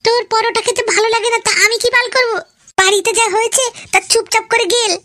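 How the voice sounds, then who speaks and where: A man voicing a stern, nagging cartoon mother, scolding a grown son in a pitched-up voice. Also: fairly even intonation, quick pace, bright, forceful tone, no echo, studio quality. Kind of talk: comedy cartoon dialogue.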